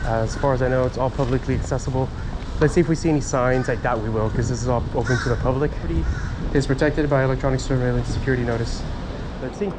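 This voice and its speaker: A man from Miami filming a casual self-made vlog on his phone, halting and chatty, full of fillers and restarts, talking to camera and to officials. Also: mid-range voice, fairly even intonation, noisy background. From Miami, USA. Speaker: vlogger filming a first amendment audit. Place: outdoors then in a public building lobby. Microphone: handheld phone camera.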